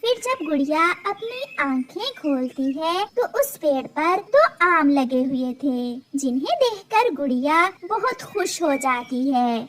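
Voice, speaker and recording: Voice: high voice, very animated, slow, hardly any pauses, a bit bright. A narrator telling a scripted children's cartoon story.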